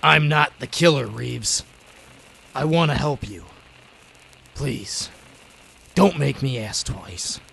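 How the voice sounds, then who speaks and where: An actor playing a young speakeasy owner who is secretly a commanding, earnest vigilante in an old-time radio drama. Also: male voice, medium pitch, lively intonation, takes it slowly, creaky, pauses often, fairly bright tone, slight background noise, heavily acted. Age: young adult.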